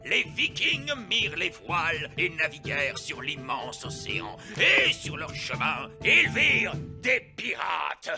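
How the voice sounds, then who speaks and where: Cartoon dad reading a bedtime tale: leisurely, dramatic, very varied pitch, playful and obliging. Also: male voice, huge pitch swings, gravelly, music in the background, heavily acted. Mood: theatrical. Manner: slow, dramatic narrating with hearty pirate cries. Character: playful storytelling father, obliging and theatrical. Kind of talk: bedtime storytelling in a French cartoon dub.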